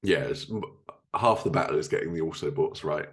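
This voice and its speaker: A man's voice: a bass voice, brisk. A man hosting a podcast, asking questions in a casual interview chat. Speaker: podcast host.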